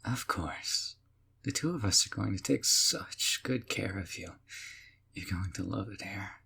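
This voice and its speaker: A young man voicing a doting, obsessive male kidnapper who talks softly and coaxingly, often in a whisper, with a husky voice. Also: fairly low pitch, unhurried, exaggerated voice. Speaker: voice actor. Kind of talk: audio roleplay.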